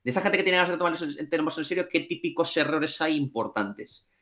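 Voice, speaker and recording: A man's voice: on the high side, lively intonation, fairly quick, bright, forceful tone. A man with a Spanish accent from Spain, a finance exam trainer, answering interview questions in a frank, colloquial way with mild swearing. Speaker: CFA trainer and academy director.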